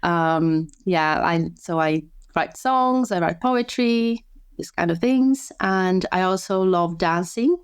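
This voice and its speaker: A woman from Brazil speaks in a smooth, resonant, slightly low voice, chatting as a podcast guest. She talks casually and restarts her sentences often. The sound is close and dry, with no room around it. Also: expressive, slow, no echo. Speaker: podcast guest, sleep health coach. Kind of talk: casual interview chat.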